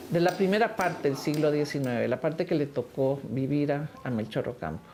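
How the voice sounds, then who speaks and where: Low-voiced older woman from Costa Rica. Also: moderate pace, warm tone, a little hiss or hum. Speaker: film director. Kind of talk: interview about her film.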